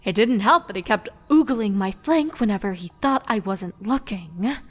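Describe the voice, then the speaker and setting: A woman's voice: mid-range voice, lively intonation, moderate pace, close to an everyday voice. A woman voicing a weary, wry elder sister pony princess with a casual, dry manner. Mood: wry, tired.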